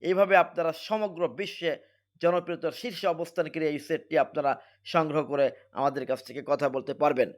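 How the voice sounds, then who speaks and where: A man with a Bangladeshi accent giving an emphatic, repetitive sales pitch as he presents a product. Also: fairly bright tone. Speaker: product seller presenting a device.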